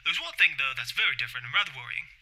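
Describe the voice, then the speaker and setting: Mild Englishman phoning talk radio , played by a man: courteous, gentle, measured delivery. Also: a little high, expressive, full character voice. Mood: polite, uneasy. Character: mild, polite Englishman calling radio show.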